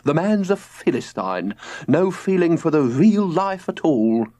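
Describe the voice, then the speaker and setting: A man's voice: a little high, big pitch swings, unhurried, warm tone, voice pushed up. A man playing a pompous nature-loving dad. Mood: lofty. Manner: lofty, theatrical, self-important. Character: pompous back-to-nature father, middle-aged man.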